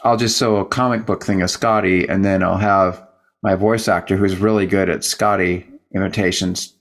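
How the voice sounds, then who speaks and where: A man's voice: low-pitched, fairly even intonation, moderate pace. Man hosting a casual, rambling interview. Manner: rambling, jokey, tangent-prone. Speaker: interview host and show producer.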